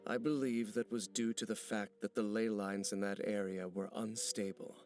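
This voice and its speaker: A man playing a brooding, serious investigator in a video game, speaking in a formal, deliberate and grave way. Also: on the low side, fairly even intonation, darkish tone, faint music behind, natural voice. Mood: grave, analytical. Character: brooding, serious male investigator, measured and cryptic.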